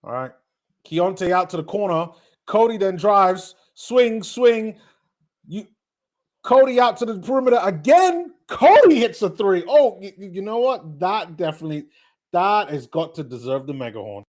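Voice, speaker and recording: A man with a British accent blended with North American, hosting a casual live watch-party stream, chatting with viewers and getting excited on big plays. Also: high-pitched, moderate pace. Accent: British mixed with North American. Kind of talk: live casual game commentary and chat.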